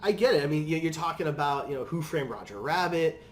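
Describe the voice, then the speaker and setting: A man's voice: fairly high pitch, animated, fast talker, echoey room. A man hosting a podcast, talking casually and at length about films with his own opinions. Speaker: podcast host. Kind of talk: casual film discussion. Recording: noisy room background.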